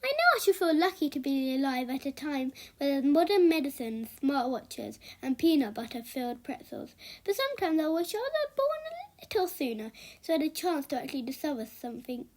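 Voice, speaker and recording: A woman reading a children's book aloud as an expressive storyteller. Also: sits high, brisk.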